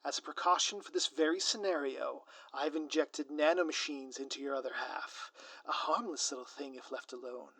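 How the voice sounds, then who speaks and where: A man's voice: on the high side, normal speed, sharp tone, dry recording, poor audio. A man playing a gleefully scheming, grandiose supervillain in an audio roleplay, pompous and taunting with florid, melodramatic delivery. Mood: flustered, threatening.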